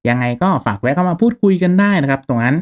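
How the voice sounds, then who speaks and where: A man hosting a software tutorial in an informal, polite, step-by-step way, checking in with listeners. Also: medium pitch, lively intonation, very fast. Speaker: YouTube tutorial host, gaming channel streamer.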